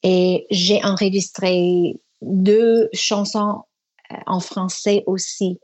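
A woman from Toronto whose first language is English, speaking French with an English-Canadian accent, full of pauses and fillers, as a singer interviewed remotely. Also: mid-range voice, flat delivery, darkish tone. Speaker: guest singer. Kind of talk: phone or video interview. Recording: remote line from Quebec City.

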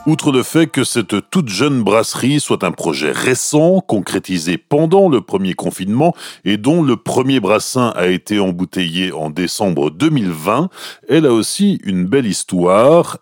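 A man's voice: fairly low pitch, no echo, studio quality. A man hosting a podcast, reading a scripted introduction and asking interview questions. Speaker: podcast host.

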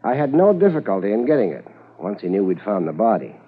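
A tinny old radio recording of a capable police detective corporal. The voice is low and husky, and the reporting is calm and matter-of-fact in a 1950s drama manner. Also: male voice, fast. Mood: measured, reporting.

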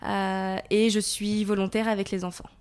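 Young woman interviewee, mid-pitched voice, careful explanations with fillers. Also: level tone, normal speed, a little mellow, clear, resonant tone. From Paris region, France. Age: 20s. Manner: thoughtful, explanatory, frequent fillers. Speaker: guest, volunteer. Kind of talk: interview answers.

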